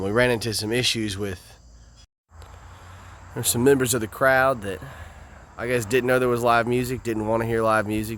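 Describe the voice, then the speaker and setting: A man's voice: medium pitch, a bit bright. A man with a Southern US accent, a traveling musician chatting in an easygoing, rambling way for his video diary. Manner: easygoing, rambling with small restarts.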